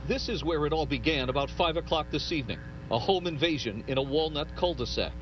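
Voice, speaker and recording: A man's voice: a little high, a little fast, muffled recording, music in the background. A man working as a field reporter, delivering a scripted live television news report.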